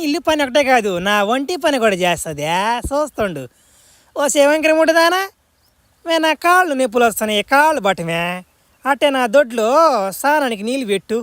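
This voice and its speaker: A woman voicing a domineering, sharp-tongued old village woman in a comic cartoon, with a rural Telugu dialect and a coarse, mocking manner. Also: high voice, no echo. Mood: bossy, jeering.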